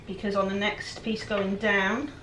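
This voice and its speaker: A woman with a croaky, raspy voice, chatting casually while she works through a furniture project. She sounds a little breathless and often lets her sentences trail off quietly. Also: mid-range voice, little pitch movement, measured pace, background noise. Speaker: furniture flipper. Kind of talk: casual how-to chat while working.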